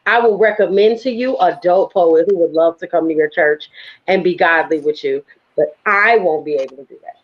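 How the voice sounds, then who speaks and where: A woman poet from New Orleans with a local accent, talking in a lively, emphatic, conversational way as an interview guest. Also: fairly low pitch, moderate pace. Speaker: guest, spoken word poet. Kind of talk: casual interview talk. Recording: dull lo-fi audio.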